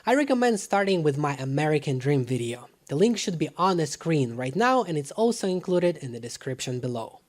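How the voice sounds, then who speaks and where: A man presenting a scripted product review to camera in an emphatic, deliberate way. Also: on the high side, darkish tone. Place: living room at home. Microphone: dedicated microphone.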